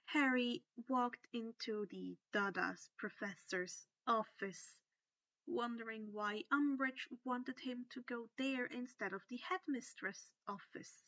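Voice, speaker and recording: Woman reading a story, high bright voice, expressive, dry slightly lo-fi recording. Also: slow, no room sound. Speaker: narrator. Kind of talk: expressive scripted story narration.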